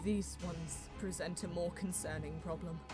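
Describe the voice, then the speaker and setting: A woman's voice: fairly low pitch, steady tone, moderate pace, close to an everyday voice. Woman as solemn elf storyteller over music. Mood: wary. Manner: solemn, poetic, intense. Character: female elf seer narrating battle, solemn and watchful. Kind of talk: scripted first-person dramatic narration. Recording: music behind the voice.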